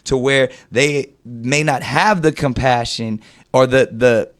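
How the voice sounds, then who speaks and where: Guy with a mid-pitched voice making his case in a chat, emphatic, repeats himself for weight. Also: slow pace, fairly bright tone. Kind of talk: conversational argument.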